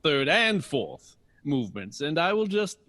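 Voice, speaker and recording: A man's voice: a little high, very animated, unhurried, pushed and bright, full character voice. A man acting in an audio drama as a genial, teasing veteran jazz bandleader interviewed on radio. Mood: breezy, self-assured.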